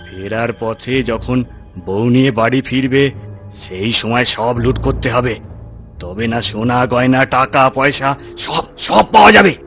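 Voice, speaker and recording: A male actor as a cartoon bandit chief who orders his gang about. He is bossy and scheming, scolding as readily as he commands. Music plays lightly behind his mid-pitched voice. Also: expressive, measured pace, fairly bright tone. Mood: scheming, commanding. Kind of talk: cartoon voice acting in Bengali.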